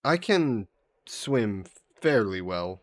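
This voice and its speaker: A man voicing a vain sea monster who poses as a mannered gentleman in a roleplay audio drama, speaking in suave, formal phrases. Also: fairly low pitch, big pitch swings, extremely slow, no echo, full character voice. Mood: playful, flirty. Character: flirty, vain sea monster posing as a gentleman traveler, turning menacing.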